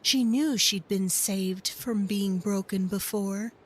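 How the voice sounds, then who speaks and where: A woman narrating a dark story in a hushed, deliberate storybook manner. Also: medium pitch, slightly rough.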